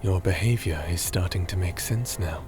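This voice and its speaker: A man playing a calm, ancient, patient being who speaks in a measured, formal way in an audio roleplay. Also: low-pitched, natural voice. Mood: grave, patient.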